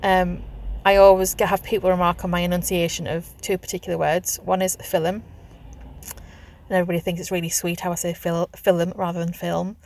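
Northeast english lady rambling from her car, low-ish gravelly voice, lots of ums. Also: little pitch movement, a little fast, darkish tone. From Northeast England. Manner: chatty, hesitant with frequent ums. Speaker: vlogger doing an accent challenge. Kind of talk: casual chat. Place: sitting in a car.

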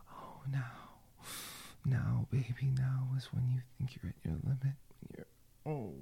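Slow, breathy male whisperer, deep and raspy, for intimate roleplay. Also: mellow. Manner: breathy, murmured, heavy with sighs. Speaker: audio roleplay performer.

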